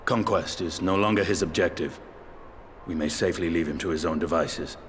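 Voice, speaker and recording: An actor with a British accent playing a gruff, aloof, dryly terse dragoon warrior in a video game cutscene, speaking in measured, formal, old-fashioned phrases. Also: male voice, low voice, a little hiss or hum, close to an everyday voice. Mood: dry, matter-of-fact.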